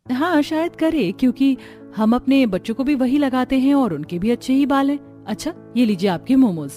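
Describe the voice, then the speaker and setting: Woman playing humble daughter-in-law, fairly high voice. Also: expressive, moderate pace, warm tone, soft background music. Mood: explaining. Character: humble, helpful daughter-in-law selling snacks. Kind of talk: cartoon story dialogue.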